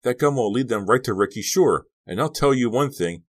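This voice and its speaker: A man voicing a hot-headed, panicky teenage boy in an audiobook, protesting loudly and heatedly. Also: sits low, expressive, fairly quick. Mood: heated, defiant.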